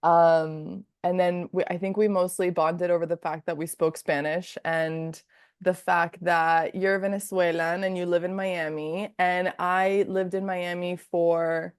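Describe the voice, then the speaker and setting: A woman's voice: fairly low pitch, level tone, measured pace, clean tone, no room sound, studio quality. A young woman with a Dominican accent hosting a podcast, chatty and rambling in a friendly way. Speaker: podcast host. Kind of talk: casual interview.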